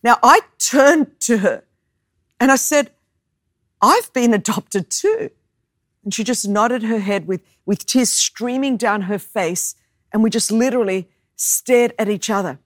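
A woman in her thirties from Australia, whose first language is Greek, preaching a sermon and telling a personal story with animated, self-mocking humor. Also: a little high, measured pace, dry recording, studio quality. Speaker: guest preacher.

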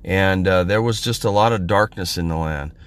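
Hoarse man giving a halting sermon. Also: low voice. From Central California. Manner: halting, many fillers and restarts. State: hoarse from a head cold. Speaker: podcast minister. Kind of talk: informal spoken sermon.

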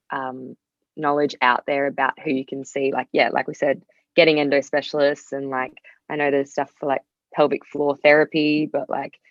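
A woman's voice: sits low, a bit bright. A woman chatting casually as a podcast guest, peppering her loose sentences with "like". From Australia. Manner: loose, heavy use of "like". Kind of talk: casual chat. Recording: roomy, slightly dull sound.

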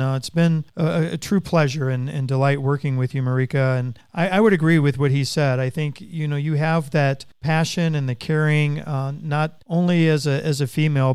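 A man with a clear, smooth voice, pitched a little high, hosts a podcast interview. He talks conversationally and wordily, circling back over phrases in a halting way. The sound is close and dry. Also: a little mellow, no echo. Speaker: podcast host. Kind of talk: conversational interview, praising guest.

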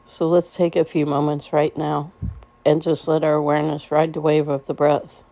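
A woman leading a guided meditation in a gentle, soothing way, with unhurried phrasing and pauses. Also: deep voice, flat delivery, vocal fry, dry recording, highs cut off.

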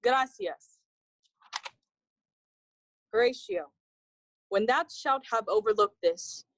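Casting: loyal earnest young scholar, slightly high, dry studio sound, classical audio drama. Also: female voice, a bit bright, no room sound, full character voice, rough recording. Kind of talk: classical dramatic letter reading.

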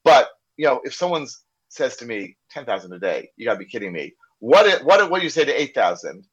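A man's voice: medium pitch, sing-song, fast talker, husky. An American man telling a candid story in a casual podcast conversation, acting out the dialogue he quotes. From United States. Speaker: corporate trainer and podcast guest. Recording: slightly dull lo-fi sound.

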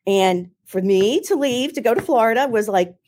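A middle-aged woman with a Philadelphia accent, telling her career story in an interview in a chatty, animated way with many restarts. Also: on the high side, moderate pace. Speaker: marketing executive, guest. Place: home or office in Ohio, remote call.

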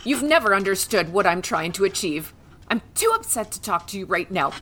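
A woman's voice: medium pitch, sing-song, brisk, fairly bright tone, a little hiss or hum. An actress playing an imperious, cold-hearted mother in an audio drama, commanding and condescending. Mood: icy, scheming. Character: imperious, cold-hearted mother and mansion matriarch, wheedling and cruel.

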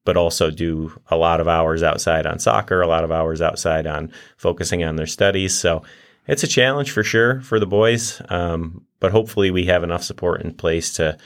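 A middle-aged man, a college soccer coach, answering interview questions in a campus studio, measured and steady with many fillers. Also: deep voice.

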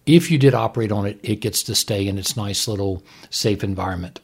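Male doctor podcaster, husky low voice, emphatic and frank, conversational explainer. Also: a little fast, a little mellow. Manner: emphatic, plain-spoken, frank. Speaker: doctor co-hosting a medical podcast.

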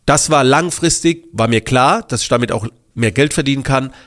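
A man's voice: mid-range voice, normal speed. A man hosting his podcast, slightly congested from a cold, telling a story casually with lots of fillers and restarts. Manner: animated, many fillers and restarts. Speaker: podcast host.

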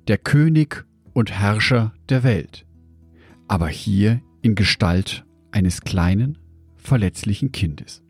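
Slow-paced man reading a devotional, dry sound. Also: mid-range voice, a little mellow, slightly rough, dry recording. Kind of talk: scripted devotional narration.

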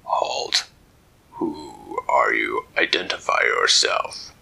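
A man's voice: a bass voice, animated, slow pace, gravelly, bright, forceful tone, husky, whispered, pitched below the performer's own voice, full character voice. A man voicing a stern male lobster creature who guards an undersea kingdom, speaking in a curt, formal and challenging way. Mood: commanding.

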